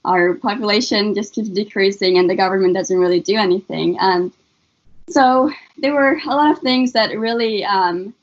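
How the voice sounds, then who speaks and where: A woman's voice: mid-range voice, moderate pace, lo-fi sound. Earnest young woman from Afghanistan. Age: young adult. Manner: earnest, many um fillers. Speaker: student guest. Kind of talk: interview answers, personal story.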